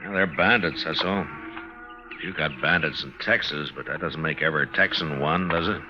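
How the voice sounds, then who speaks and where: An actor playing a calm, fair-minded, middle-aged frontier marshal in an old radio western, with a deep, weighty voice. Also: male voice, normal speed, over music. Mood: grave, reasoning. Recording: muffled vintage radio broadcast with loud noise.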